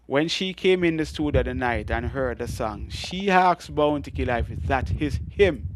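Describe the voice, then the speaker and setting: A middle-aged Jamaican man with a deep voice, telling animated stories as a guest in an interview. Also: normal speed, slight background noise. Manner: animated storytelling with frequent laughs and restarts. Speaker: guest reggae artist. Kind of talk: storytelling interview.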